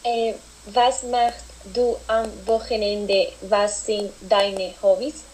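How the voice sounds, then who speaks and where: A woman's voice: mid-range voice, little pitch movement, slow pace, a little mellow, a little hiss or hum, low-quality recording. A young woman, a student podcast host, carefully reading her interview questions. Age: young adult.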